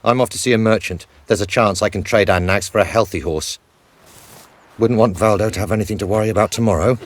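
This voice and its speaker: Casting: male actor as sardonic travelling trouper, lowish voice, blunt, practical, wry game dialogue. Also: fast, natural voice. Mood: wry, grumbling. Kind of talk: video game voice acting.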